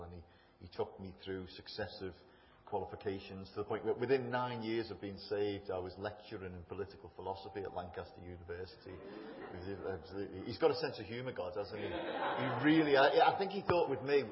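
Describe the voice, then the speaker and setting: A middle-aged man with a Liverpool accent speaks from a lectern in a church. His voice sits low and carries a long, ringing echo off the hall. His talk is chatty, self-deprecating and full of humour. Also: background noise. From England. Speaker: guest speaker. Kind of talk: informal talk to a church congregation. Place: church building. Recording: echoey hall, slightly dull sound.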